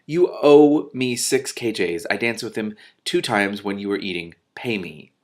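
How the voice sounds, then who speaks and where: A man's voice: fairly low pitch, unhurried, close to an everyday voice. Man doing a greedy, blunt young woman. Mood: demanding.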